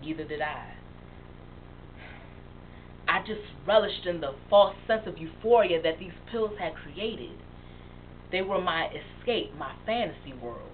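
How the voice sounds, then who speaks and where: An actress playing a young woman who haltingly and emotionally confesses a past addiction to her best friend. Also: on the low side, lively intonation. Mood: recounting. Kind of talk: dramatic monologue. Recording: tinny phone-like sound with faint hiss.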